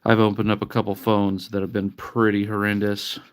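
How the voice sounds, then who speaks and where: A man's voice: fairly low pitch, completely flat delivery, normal speed. A man livestreaming as he cleans an old handheld console, chatting casually with wry, mock-disgusted asides and sounding a bit sniffly. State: sniffly from allergies. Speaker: livestreamer repairing retro handhelds. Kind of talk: casual live-stream chat while working.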